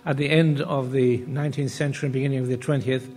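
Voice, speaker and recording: An older man, born and raised in Poland, giving a measured academic talk on a panel, pausing often and restarting phrases. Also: mid-range voice, level tone, a little mellow. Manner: measured, with frequent pauses and restarts. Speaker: panel speaker. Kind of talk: academic lecture. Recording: reverberant hall.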